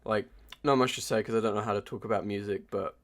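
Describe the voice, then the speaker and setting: A man's voice: on the low side, steady tone, fast, a little hiss or hum. A man co-hosting a podcast, giving casual, enthusiastic reviews in informal, sweary language. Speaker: podcast co-host. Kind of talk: casual pop culture review chat.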